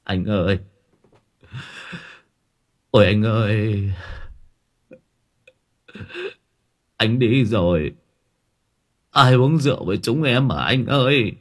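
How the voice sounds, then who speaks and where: A man's voice: on the low side, animated. Man putting on a wailing, maudlin drunk village brother. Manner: slurred maudlin wailing with drawn-out cries.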